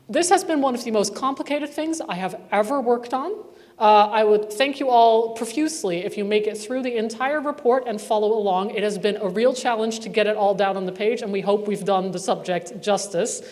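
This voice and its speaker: A woman researcher presenting a report at a conference, conversational and wry with asides. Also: mid-range voice. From not from Northern Ireland. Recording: reverberant hall sound.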